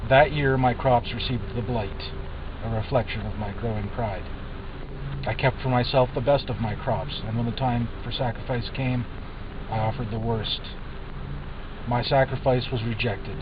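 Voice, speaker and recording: An actor playing a bitter, guilt-ridden ancient outcast, narrating his fall in a solemn, brooding, literary drama style. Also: close to an everyday voice. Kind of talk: dramatic first-person scripted narration. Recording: distant, dull, noisy with rumbling ambience.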